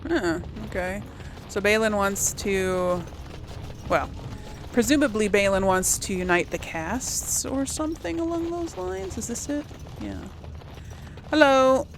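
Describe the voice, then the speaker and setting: A woman's voice: medium pitch, expressive, unhurried, slight background noise. A woman doing casual video game commentary, rambling and thinking aloud in an unsure way. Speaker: video game let's-play host.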